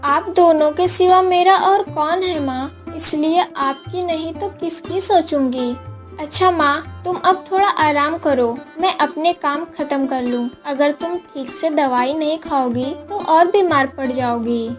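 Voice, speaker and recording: High young girl's voice acted by a woman, dutiful poor daughter, earnest pleading, cartoon story, music underneath. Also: unhurried. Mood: caring, earnest. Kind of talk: acted Hindi moral story cartoon dialogue.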